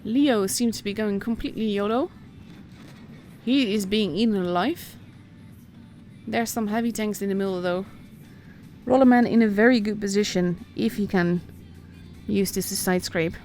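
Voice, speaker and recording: A woman's voice: medium pitch, normal speed, a little mellow, faint music behind. A woman with a Dutch accent giving casual, chatty live commentary on a game as a stream host. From Netherlands. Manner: chatty, informal, reacting as play unfolds.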